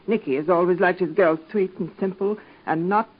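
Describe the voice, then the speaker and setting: An actress in an old radio soap playing a worried, haughty middle-aged mother with a husky voice and an imperious, scolding manner. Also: fairly low pitch, a little fast, close to an everyday voice. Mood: sharp, scolding. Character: worried older English village matriarch, sharp and haughty. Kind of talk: 1940s radio soap acting. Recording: dull old radio drama recording.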